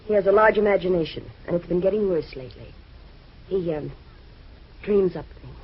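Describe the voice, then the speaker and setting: A woman's voice: lowish voice, fairly quick, close to an everyday voice. Femme fatale, played by a woman: young wife, coy, scheming and insinuating, muffled old radio recording. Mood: insistent. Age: young adult. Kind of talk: old-time radio drama acting.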